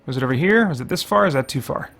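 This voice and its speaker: A man's voice: mid-range voice, animated, very fast, creaky, a bit bright. A man giving casual gameplay commentary as a show host, conversational and musing as he thinks aloud. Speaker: gamer, host of a faith and geek culture show.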